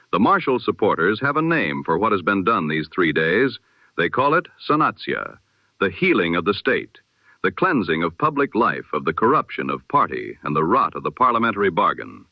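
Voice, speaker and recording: A man reading a scripted news bulletin in the style of 1920s radio, with a formal, measured and solemn delivery. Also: lowish voice, no room sound, muffled recording. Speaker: news announcer of an audio history broadcast.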